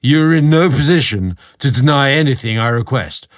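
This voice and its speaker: A man's voice: medium pitch, animated, a little fast, pitched above the performer's own voice, close to an everyday voice. A man voicing a rotund, pompous, belligerent British baron in a storybook narration, barking imperiously. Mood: outraged, threatening.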